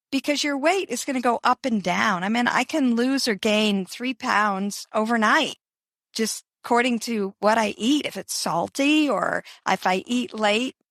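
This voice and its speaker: A woman's voice: fairly high pitch, fairly bright tone, no room sound, clean studio sound. An older woman talking as an interview guest, upbeat and encouraging in a casual motivational tone.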